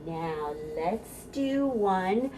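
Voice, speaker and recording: A woman's voice: medium pitch, expressive, extremely slow, noisy background. A middle-aged American woman leading a storytime for babies as a children's librarian, giving instructions and reciting rhymes in a sing-song, encouraging way.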